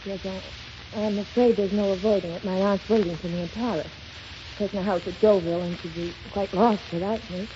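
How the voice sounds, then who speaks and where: A woman's voice: medium pitch, steady tone, a little fast, lightly acted. An actress playing a sweet, teasing, genteel young society woman in a 1930s radio drama. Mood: regretful. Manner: genteel, playful, gently reserved. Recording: muffled, crackly 1930s radio broadcast.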